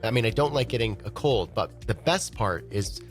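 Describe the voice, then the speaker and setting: A man's voice: lowish voice, animated, moderate pace, faint music behind. Congested male podcast co-host. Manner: playful, explanatory. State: congested from a head cold. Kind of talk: casual podcast chat. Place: recording studio.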